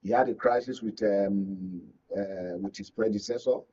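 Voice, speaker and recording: A man with a Nigerian accent speaking as a media analyst in a live political discussion, phrasing his points deliberately and with emphasis. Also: fairly low pitch, mellow, breathy edge, dull audio. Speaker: media analyst, guest pundit.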